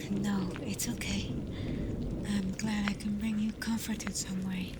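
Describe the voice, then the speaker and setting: Woman acting as a gentle alpha werewolf girl, asmr roleplay, breathy half whisper, really slow, storm noise behind her. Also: mid-range voice, natural voice. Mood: tender, intimate. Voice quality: soft, breathy, often whispered. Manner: slow, gentle, hesitant when confessing feelings. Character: tender, protective female alpha werewolf, affectionate and teasing. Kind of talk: intimate ASMR roleplay addressed to listener. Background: ambient storm noise.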